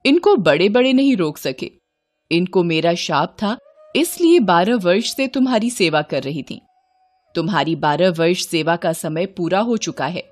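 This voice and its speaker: A woman's voice: on the low side, lively intonation, unhurried. A woman storyteller voicing a stern, commanding male god in a devotional tale, with formal, authoritative delivery. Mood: matter-of-fact.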